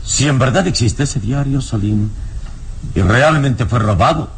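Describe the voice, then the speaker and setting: An actor playing a wise adventurer hero in an old radio serial, measured, solemn and probing. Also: male voice, medium pitch, expressive, a little hiss or hum. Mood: pensive, solemn. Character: calm, probing heroic adventurer, wise master.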